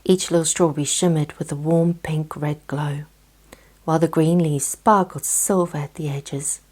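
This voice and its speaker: A woman narrating a children's bedtime story in a soft, breathy, gentle scripted style. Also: sits low, fairly even intonation, measured pace.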